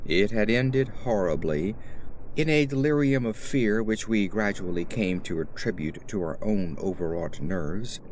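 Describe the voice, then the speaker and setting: A man giving a theatrical, grave first-person reading of gothic horror fiction as an audiobook narrator, with deliberate emphasis. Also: lowish voice, expressive, darkish tone, a little hiss or hum, rough recording.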